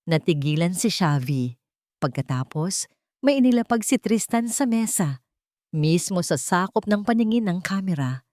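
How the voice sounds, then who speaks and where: A woman narrator with a fairly low voice reads a scripted romantic drama. The recording is dry and close, with very little room sound. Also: animated, moderate pace, darkish tone, no echo.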